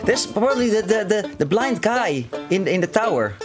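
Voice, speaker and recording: Very animated, higher-voiced man gaming. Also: normal speed. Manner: excitable, exclaiming. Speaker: gaming channel player. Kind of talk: casual co-op gameplay chat. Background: game music.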